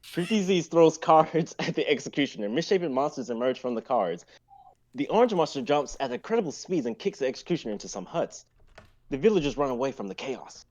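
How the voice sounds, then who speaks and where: A man's voice: mid-range voice, very animated, a little fast, dull audio. A young man narrating stage directions in a live amateur script reading. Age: young adult.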